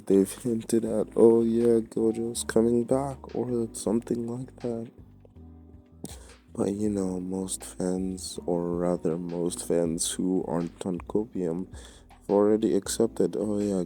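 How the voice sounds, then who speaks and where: A man's voice: low-pitched, warm tone. A man giving a casual, sweary opinion rant as a video creator, slangy and exasperated in his delivery. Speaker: anime commentary video creator.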